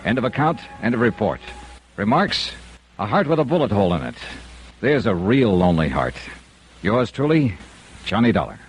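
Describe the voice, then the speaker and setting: An actor playing a hard-boiled investigator, narrating his case in clipped, deadpan radio detective style. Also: lowish voice, moderate pace, pauses often. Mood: wry, deadpan. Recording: old radio recording with music behind.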